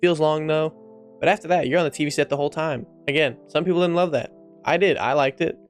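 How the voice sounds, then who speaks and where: A man's voice: a little high, quick pace, soft background music. A man giving casual opinion commentary as a video host, informal and profane, often repeating himself for emphasis.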